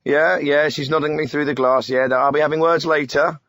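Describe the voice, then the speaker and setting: A man's voice: on the high side, fairly even intonation, moderate pace, fairly bright tone, no room sound, clean studio sound. A man playing a breezy, clueless phone-in host, who interrupts in a glib way. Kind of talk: spoof radio phone-in presenting.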